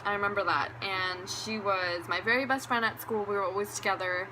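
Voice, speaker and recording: A woman's voice: medium pitch, steady tone, brisk. A young woman from Venezuela telling a candid, rambling story time for her vlog, laughing now and then. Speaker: beauty vlogger. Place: room at home with a fan running. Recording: fan hum in background.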